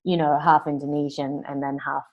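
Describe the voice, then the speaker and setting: Low-voiced woman, guest on a chatty interview, lots of ums and restarts. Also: little pitch movement, a little fast. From New Zealand and Australia. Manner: rambling, many fillers and restarts. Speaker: guest, singer-songwriter. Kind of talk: casual interview conversation.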